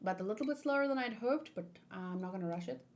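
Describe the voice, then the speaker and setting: A woman livestreaming in a casual, expressive and playful way, chatting and commenting as she reads aloud. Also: medium pitch, quick pace. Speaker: streamer hosting a manga read-along.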